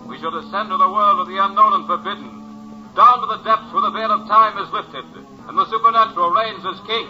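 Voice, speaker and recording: A man's voice: high-pitched, steady tone, fairly quick, close to an everyday voice. Man acting a grandiose horror radio host, muffled recording. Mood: ominous, grandiose. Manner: theatrical, declamatory. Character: ominous, grandiose host of a supernatural horror anthology. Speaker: announcer and host. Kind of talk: 1940s radio horror announcing. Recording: muffled old radio recording, music behind.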